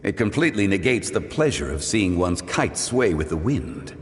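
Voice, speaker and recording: An actor playing a composed, scholarly gentleman in a video game, speaking in formal, courteous phrases. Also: on the low side, sing-song, normal speed, big-room sound. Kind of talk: scripted video game dialogue.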